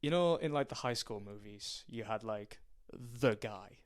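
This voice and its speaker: A man's voice: fairly low pitch, measured pace. A young man from County Durham, a podcast guest talking about his background in a hesitant way, with many ums and restarts. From County Durham, England. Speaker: strength and conditioning coach, guest. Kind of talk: casual podcast interview.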